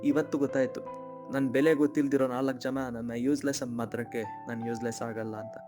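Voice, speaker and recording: A man's voice: medium pitch, flat delivery, fairly quick, music in the background, lightly acted. A man playing a humble, good-hearted, downhearted young man, speaking politely and earnestly in a cartoon story. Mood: reflective, hopeful. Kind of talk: dramatic dialogue in a cartoon short story.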